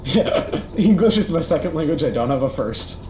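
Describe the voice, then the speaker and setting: A teenage boy chatting casually as co-host, rambling and animated with lots of fillers. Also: on the high side, normal speed. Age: teens. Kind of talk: casual chat. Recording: echoey room, faint noise.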